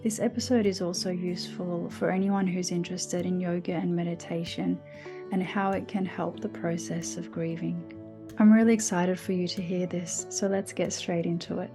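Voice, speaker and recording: A woman podcast host introducing an episode and gently asking her guest questions. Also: fairly low pitch, steady tone, normal speed, music in the background. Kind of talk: podcast interview.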